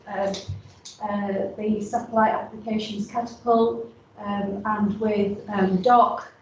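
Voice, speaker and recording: A woman's voice: medium pitch, a little mellow, a little hiss or hum. Yorkshire woman presenting in an echoey hall. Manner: chatty, many ums and restarts. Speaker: innovation hub staff presenter. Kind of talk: casual presentation to an audience. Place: large echoey event room. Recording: roomy audio from a distant mic.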